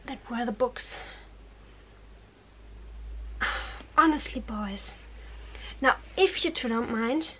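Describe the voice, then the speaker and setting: A woman playing a bookish, exasperated young witch in an ASMR roleplay, halting and bossy, often whispering. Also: fairly high pitch, lightly acted, low-quality recording. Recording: faint background noise.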